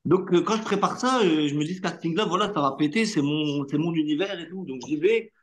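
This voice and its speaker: A man, an actor whose first language is Arabic, telling his story in a relaxed interview. He talks in a loose, slangy way with lots of fillers and quick asides. His voice sits fairly high for a man. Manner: animated anecdote-telling with street slang and many fillers.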